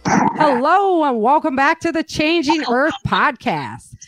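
A woman's voice: sits high, very animated, slow, dry recording, soft background music, poor audio. A woman hosting a podcast, chatting and interviewing in an enthusiastic, informal way. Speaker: podcast host. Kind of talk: upbeat casual interview chat.

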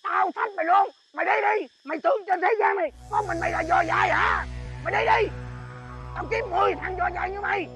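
A man's voice: very high voice, a little fast, fairly bright tone, soft background music. A man playing a boastful, hot-tempered bandit boss who turns into a stammering coward. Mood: defiant. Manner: blustering, then panicky stammering shrieks. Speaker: comedy sketch actor. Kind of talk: comic sketch acting.

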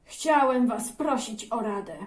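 A woman playing a kind, wise king in a children's fairy tale, speaking gently and deliberately. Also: on the high side, reverberant, natural voice. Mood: kindly, thoughtful. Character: kind, wise king seeking counsel from messengers.

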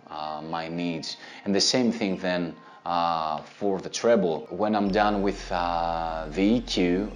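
A man in his thirties with a Greek accent, answering viewer questions about guitar in a relaxed, step-by-step way with plenty of fillers. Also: low voice. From Greece. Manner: gestures with his hands while talking. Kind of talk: casual instructional talk to camera. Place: indoor studio with a plain grey backdrop. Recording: soft background music under the voice.

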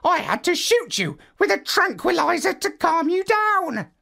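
A reader doing a high, lecturing storybook parrot. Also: big pitch swings, unhurried, gravelly, bright, forceful tone, heavily acted. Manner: fussy, lecturing. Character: pompous, learned male parrot.

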